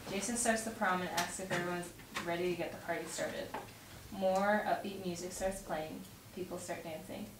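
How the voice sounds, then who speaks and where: A woman's voice: lowish voice, fairly even intonation, moderate pace. A young woman reading stage directions aloud at a table read, recorded from across a dining room. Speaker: script narrator at a table read. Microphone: far camera mic. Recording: distant mic, roomy echo, noisy.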